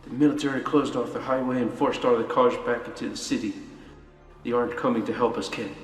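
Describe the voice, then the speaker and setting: An actor playing a wary, blunt armed survivor of a zombie outbreak in a radio play, speaking with urgency. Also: male voice, medium pitch, level tone, brisk, natural voice. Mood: grim. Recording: echoey, distant mic, faint music.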